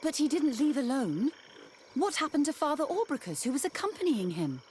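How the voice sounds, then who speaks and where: Game role: earnest female scholar-leader, high voice, lively intonation, proud bearing. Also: fairly quick, slight background noise. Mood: relieved, concerned. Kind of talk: video game cutscene dialogue. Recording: faint swamp ambience, frogs.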